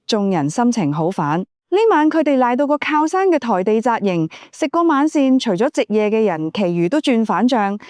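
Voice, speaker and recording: A woman's voice: mid-range voice, a little mellow, no room sound, clean studio sound. A woman narrating a novel from a script in Cantonese, as an audiobook storyteller.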